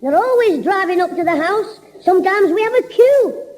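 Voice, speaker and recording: A man voicing a cheeky, mischievous schoolboy who cracks quick jokes, in a 1960s radio comedy. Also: extremely high pitch, expressive, darkish tone, rough recording. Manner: cheeky wisecracking. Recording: old radio broadcast.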